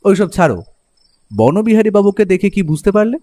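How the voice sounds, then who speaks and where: A man's voice: high-pitched, expressive, brisk, warm tone. A man with a Bengali accent giving dramatic first-person narration of a detective story. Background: music under the narration.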